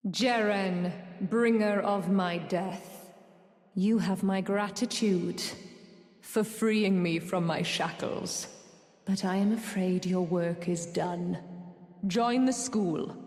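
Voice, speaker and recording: A woman playing a proud, ambitious sorceress teacher in a video game, speaking fondly to an apprentice in measured, formal, archaic phrases. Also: mid-range voice, darkish tone, close to an everyday voice. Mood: coldly triumphant. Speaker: video game character voice actor. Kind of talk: scripted game dialogue. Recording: echoey hall-like reverb.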